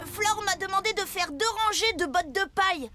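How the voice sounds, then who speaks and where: Very high-pitched eager childlike cartoon vehicle. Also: female voice, normal speed. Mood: curious. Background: music.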